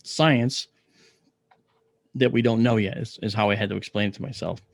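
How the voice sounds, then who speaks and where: A middle-aged man with a deep, gravelly voice from a paralyzed vocal cord, telling a story in an interview, clearing his throat often and using many fillers. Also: steady tone, normal speed, dry recording, clean studio sound. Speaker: interview guest, astronomer.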